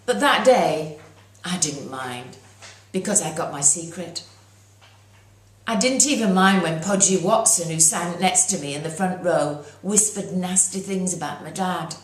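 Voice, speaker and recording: A woman reading her own children's story aloud as its author, in an expressive narrating style. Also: fairly low pitch, measured pace. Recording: roomy echo.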